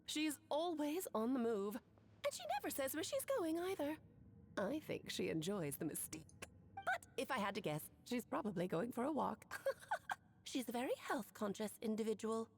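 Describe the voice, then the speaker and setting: Teasing fond witch lady for a game, highish voice, bit creaky, playful drawl. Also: very animated, moderate pace, a little hiss or hum. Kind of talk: video game voice acting.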